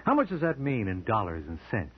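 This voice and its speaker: An actor playing a dry, flippant, hard-boiled crime-solving hero in an old radio drama. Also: male voice, lowish voice, huge pitch swings, fairly quick, husky, full character voice. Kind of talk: 1940s-50s radio crime acting. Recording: dull old radio recording.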